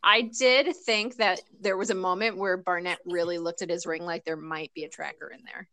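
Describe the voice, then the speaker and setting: Podcast co-host, woman, bright and crisp, dry sound, chatty. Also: on the low side, steady tone, moderate pace, dry recording. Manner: chatty, laughs often. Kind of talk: casual chat.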